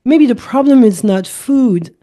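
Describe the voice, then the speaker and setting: A woman's voice: on the high side, expressive, unhurried, dark tone. A middle-aged woman speaking English with a French accent, a holistic coach explaining her ideas as a guest in a casual interview, thoughtful and repeating her key points.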